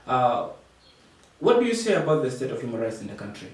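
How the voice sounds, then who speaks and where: A man's voice: medium pitch, big pitch swings. Echoey, distant South Sudanese male interviewer. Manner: halting, measured, formal. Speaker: host and producer. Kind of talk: formal interview questioning. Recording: very echoey room, far from mic.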